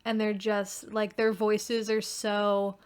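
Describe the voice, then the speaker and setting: A woman's voice: mid-range voice, completely flat delivery, slow, clean tone. A woman talking casually as a writer on a podcast, in a hesitant, reflective manner. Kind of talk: casual chat.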